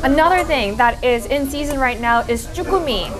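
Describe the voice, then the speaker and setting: A young woman with a slightly high voice talks straight into a close-held camera in a seafood restaurant. She chats casually, describing textures and gushing over the food. A busy crowd murmurs behind her. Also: animated, normal speed. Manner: chatty and descriptive, gushing while tasting. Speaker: food vlogger. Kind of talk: casual food vlog narration. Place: seafood restaurant by a window, indoors. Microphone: camera held close, selfie framing.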